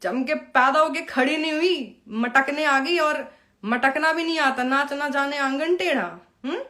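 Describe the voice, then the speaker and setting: A woman's voice: high-pitched, measured pace, bright, forceful tone. A woman talking informally to camera in a confrontational, scolding and taunting way, with rhetorical questions. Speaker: live video host.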